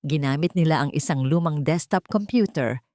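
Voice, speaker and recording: A woman's voice: sits low, moderate pace, mellow, dry recording, clean studio sound. A woman storyteller giving scripted dramatic narration of a love story.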